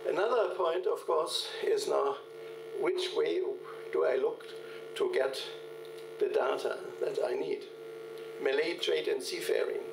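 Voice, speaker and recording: Older man, keynote lecture, halting delivery full of fillers, gravelly voice, distant echoey hall, mains hum. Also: on the high side. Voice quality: halting, many "uh" fillers and restarts. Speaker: keynote speaker. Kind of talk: conference lecture with anecdotes. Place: large lecture hall at a podium. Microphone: podium microphone, recorded from the hall.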